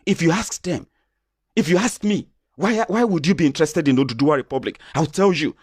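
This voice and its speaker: A man's voice: a little high, brisk, a little mellow, husky, no room sound, clean studio sound. A Nigerian man with a Yoruba accent giving passionate opinion commentary, repeating and restarting phrases as he argues.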